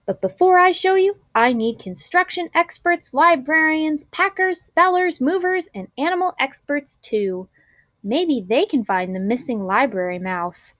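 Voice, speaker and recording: A woman voicing a kind, patient schoolteacher who gently reassures and encourages her young class in a children's storybook. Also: sits high, animated, measured pace, dry recording, voice pushed up. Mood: bright, inviting. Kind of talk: children's storybook read-aloud.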